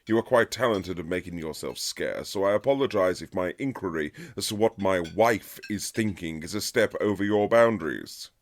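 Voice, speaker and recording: Casting: male actor for haughty period-romance husband, bottomless bass with expressive swings, dry teasing formality. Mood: irritated, stiff. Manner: formal, dry, teasing, measured aristocratic phrasing. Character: reluctant aristocratic young husband, haughty, sardonic gentleman. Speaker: audio roleplay performer. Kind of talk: romantic period-drama ASMR roleplay, scripted.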